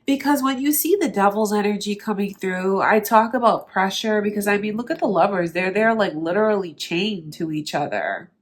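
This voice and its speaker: A woman's voice: medium pitch, lively intonation, moderate pace, few pauses. A woman with a nasal, stuffed-up voice giving a tarot reading, speaking directly and earnestly to the viewer. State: nasal from allergies. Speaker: tarot reader.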